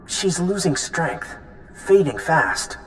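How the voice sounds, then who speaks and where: A man's voice: a little high, very animated, takes it slowly, a bit bright, faint music behind, full character voice, low-quality recording. A man voicing an earnest, concerned ally in a video game, speaking with urgency. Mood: worried. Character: earnest, worried male ally bearing news.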